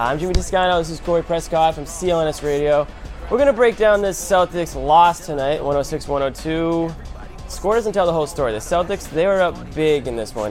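A man's voice: on the high side, a little mellow. A man hosting a sports show, giving conversational, emphatic basketball analysis and repeating phrases for punch.

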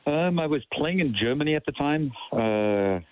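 A man's voice: a little high, lively intonation, normal speed, dry recording. A man from South Africa with a South African accent, a soccer coach talking fluently and upbeat in a phone interview. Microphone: telephone. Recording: dry tinny phone line.

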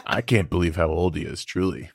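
A man playing a laid-back Satan as a deadpan, understated sidekick in casual podcast banter. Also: sits low, brisk, vocal fry, husky, dry recording, studio quality, full character voice.

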